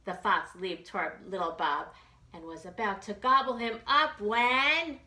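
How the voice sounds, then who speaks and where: Slow, bright woman reading to young children. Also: mid-range voice. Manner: animated, simple child-directed delivery. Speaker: library children's storytime reader. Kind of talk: expressive read-aloud storytelling for young children. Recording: roomy echo with background noise.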